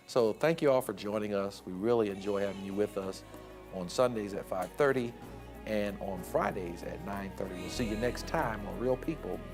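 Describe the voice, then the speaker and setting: Man, 50s, low voice, relaxed talk show host, faint music behind. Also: moderate pace. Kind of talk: casual talk show chat.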